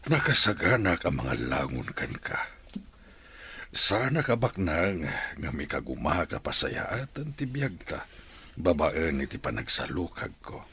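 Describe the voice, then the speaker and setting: A man plays a devoted suitor in a radio drama. He speaks slowly and with swooping intonation in a gravelly voice, tender and patient, over faint music. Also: sing-song, pushed and bright, exaggerated voice. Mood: tender, earnest. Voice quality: deep, gravelly. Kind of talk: Ilocano radio drama acting.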